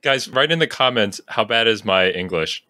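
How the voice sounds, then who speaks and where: Low, bright-voiced joking podcast host. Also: male voice, lively intonation, moderate pace, dry recording. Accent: Long Island. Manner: joking, playful, laughs often. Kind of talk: casual chat.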